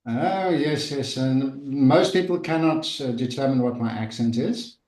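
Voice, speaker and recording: A man with a South African accent speaks slowly on a video call, pausing often with hesitant fillers. His voice sits at an ordinary middle pitch. The room around him rings with a noticeable echo. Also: darkish tone. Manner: slow, hesitant, many fillers. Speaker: leadership psychologist and writer. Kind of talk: casual video call introduction.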